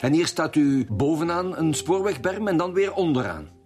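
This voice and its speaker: An actor playing a firm, probing Flemish chief inspector in a radio drama, formal and insistent. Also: male voice, a little high, expressive, normal speed, darkish tone, faint music behind, natural voice. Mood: firm, pressing. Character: firm, probing male homicide detective.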